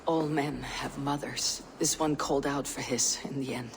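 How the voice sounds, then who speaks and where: A woman's voice: rumbling low, fairly even intonation, moderate pace, vocal fry, slight background noise. A woman playing a stern, stoic female Viking warrior in a video game. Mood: subdued, somber. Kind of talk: dramatic video game acting.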